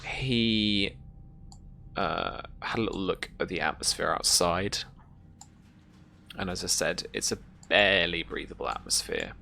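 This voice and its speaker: A woman runs a tabletop sci-fi horror game as game master. She narrates slowly and haltingly in a bright, gravelly voice, with some noise behind her. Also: rumbling low, expressive.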